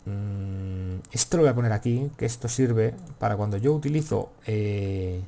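A man's voice: on the low side, unhurried, gravelly, dark tone, slight background noise. A man with a Castilian accent from Spain giving casual gameplay commentary, rambling to himself and swearing freely. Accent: Castilian Spanish from Spain. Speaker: gaming video host.

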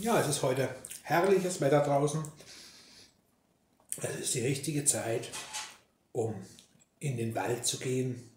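Casting: man, mid-pitched, casual ASMR chat addressed to the listener, halting mid-sentence, faint noise and clinking dishes. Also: a little mellow. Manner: unhurried, with pauses mid-sentence. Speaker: host. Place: indoors at a breakfast table. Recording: roomy, echoey sound with faint noise. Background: clinking dishes and clicks.

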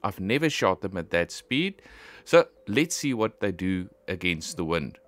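A middle-aged man presenting a shooting vlog in a casual, expressive way, talking straight to his viewers. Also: sits low, unhurried, slight background noise. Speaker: host of an airgun shooting channel.

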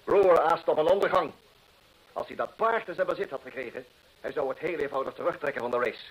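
An actor playing a proud, desperate gentleman landowner in an old radio drama, husky, earnest and theatrical. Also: fairly high pitch, fast, rough recording. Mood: desperate, fervent. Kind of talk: old-time Dutch radio drama acting.

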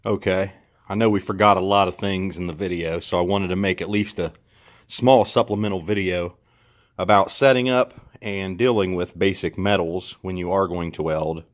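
A middle-aged man talks through a hands-on how-to in an indoor garage, picked up by the camera's own mic. His voice sits somewhat low. He keeps it relaxed and plain-spoken, restarting a phrase here and there as he goes. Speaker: host. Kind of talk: casual how-to walkthrough.

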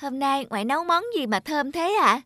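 A woman's voice: sits high, expressive, brisk, over loud music. A woman voicing a devoted, eager young grandson in a cartoon, earnest and respectful. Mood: cheerful. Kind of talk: cartoon voice acting.

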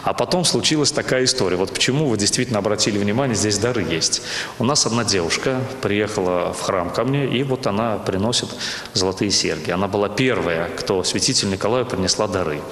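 A man's voice: fairly low pitch, fairly quick, fairly bright tone, slight background noise. A middle-aged man, a parish priest, telling stories in an on-camera interview. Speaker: parish priest, church rector. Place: inside a small church. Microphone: handheld interview microphone. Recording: echoey, reverberant church interior.

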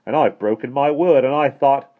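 A man's voice: medium pitch, moderate pace, phone-quality sound, voice pushed up, natural voice. A man voicing a bewildered, indignant postman in a children's storybook, blustering and exclaiming. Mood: baffled, indignant.

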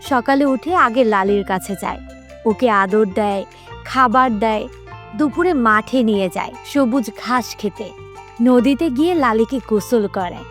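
A woman reads a scripted story for young children in the role of storyteller. Her voice sits a little high. Music plays behind her narration.

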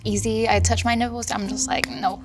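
A woman talking in a laid-back, soft-spoken way in a group chat. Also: a little high, level tone, a little fast. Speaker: contestant. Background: music bed.

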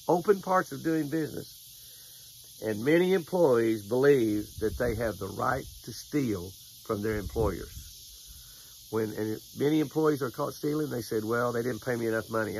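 Older man, gravelly voice, folksy preacher, repeats phrases, outdoors by a lake, faint insect noise. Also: medium pitch, low-quality recording. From United States. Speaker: devotional host and fishing angler. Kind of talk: folksy devotional talk with fishing tip. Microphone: camera at close range.

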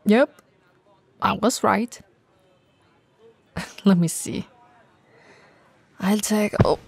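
Breathy, unhurried tall friendly woman, acted out. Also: mid-range voice, no room sound, heavily acted. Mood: friendly, cheerful. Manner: casual, playful, hesitant and chatty. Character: tall, friendly, teasing woman bento shop owner. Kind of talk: intimate ASMR roleplay acting.

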